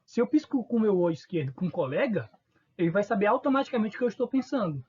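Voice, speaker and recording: A male teacher with a Northeastern Brazilian accent gives a recorded lesson in a relaxed, informal tone. His voice is husky and the pitch moves a lot, and he asks the class questions that he then answers himself. The recording sounds dull and lo-fi. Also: fast talker. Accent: Northeastern Brazilian Portuguese.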